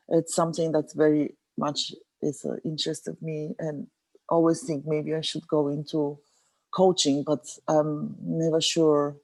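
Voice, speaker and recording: Hesitant, slow-talking woman from Bulgaria. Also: sits low, a little mellow, no echo. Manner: hesitant, many ums and uhs. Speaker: webinar participant. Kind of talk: casual spoken introduction. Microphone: video call.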